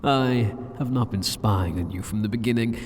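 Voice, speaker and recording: A man's voice: on the low side, moderate pace, darkish tone, lightly acted. A man voicing an arrogant, sadistic demon king in an ASMR roleplay, with a mocking, condescending and teasing manner. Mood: gloating. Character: sadistic, arrogant male demon king taunting a captive hero. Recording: long cavernous hall reverb.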